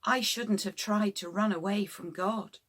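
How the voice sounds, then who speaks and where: A woman's voice: mid-range voice, steady tone, a little slow, fairly bright tone. A woman voicing a stubborn runaway man who speaks in plain, short declarations in a children's storybook. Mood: remorseful. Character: Jonah, stubborn then remorseful runaway man.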